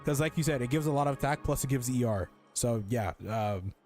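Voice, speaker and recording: Male game streamer, medium pitch, informal colloquial chat. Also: fairly quick, a little mellow, soft background music. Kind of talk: casual chat while playing a game.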